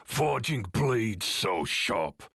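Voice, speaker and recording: A deep, gravel-throated warlord. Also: male voice, big pitch swings, drawn-out words, a bit bright, no echo, studio quality, exaggerated voice. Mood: grim, resolute. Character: grim, commanding warlord, father of a grown son. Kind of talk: dramatic game cutscene acting.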